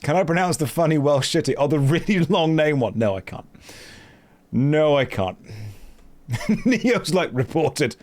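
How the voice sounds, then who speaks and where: A man's voice: on the high side, lively intonation, a little slow, long pauses, a little mellow. A man chatting casually with his live-stream audience while playing a game, joking at his own expense and reading messages aloud. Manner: self-mocking, joking, reads chat and quest text aloud.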